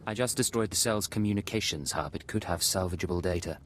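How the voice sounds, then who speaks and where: A man's voice: deep voice, quick pace, soft background music, close to an everyday voice. An actor playing a terse, guarded male secret agent in a video game. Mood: matter-of-fact. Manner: curt, guarded. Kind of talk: video game dramatic acting.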